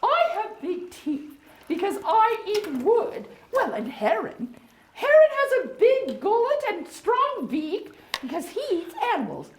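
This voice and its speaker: A woman plays a prim, kindly beaver lady hosting a puppet-show tea party, her voice sitting extremely high and swooping widely. She speaks slowly and sweetly, fluttering every line with gentle "oh"s. The sound is echoey, with a faint hiss underneath. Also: sing-song, full character voice. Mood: teacherly. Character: genteel female beaver hostess, kindly and proper. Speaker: puppet show hostess and teacher. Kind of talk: children's educational puppet show. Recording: echoey room with faint noise.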